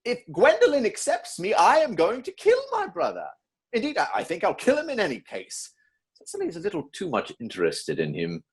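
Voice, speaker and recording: A man playing an earnest, easily exasperated young English gentleman in a stage comedy reading, stuffy and emphatic. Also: high voice, wildly swooping pitch, fairly quick, breathy edge, full character voice. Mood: indignant, stuffy.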